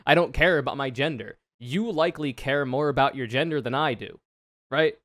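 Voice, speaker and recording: A man with a deep, smooth voice, reacting to a video in frank, casual commentary that is full of swearing. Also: moderate pace, fairly bright tone, no room sound, clean studio sound. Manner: frank, swears freely, thinks aloud.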